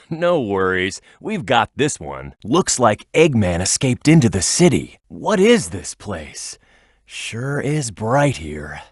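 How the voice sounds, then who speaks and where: A man's voice: lowish voice, very animated, slow pace, slightly rough, dry recording, studio quality, exaggerated voice. A man playing a tough, hot-headed young echidna hero in a video game, with a brash, cocky, emphatic delivery. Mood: determined, curious. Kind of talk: video game voice lines, tutorial hints and battle shouts.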